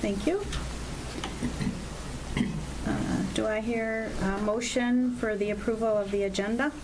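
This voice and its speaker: A woman chairing a village council meeting, moving briskly through procedural motions. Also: on the high side, steady tone. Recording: noisy meeting-room recording.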